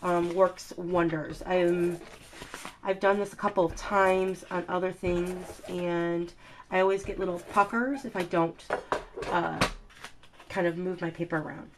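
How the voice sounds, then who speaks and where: Woman host with a cold, nasal and congested, easygoing crafting chatter full of fillers, slightly low register, light background hiss. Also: fairly even intonation, measured pace. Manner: chatty, many ums and uhs. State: stuffy nose from a cold. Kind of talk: casual step-by-step craft demonstration.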